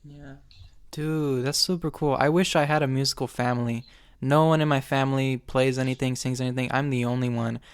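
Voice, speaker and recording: Smooth-voiced male podcast host, dry sound. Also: mid-range voice, fairly even intonation, a little fast, dry recording. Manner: friendly, gushing, casual. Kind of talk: casual interview chat.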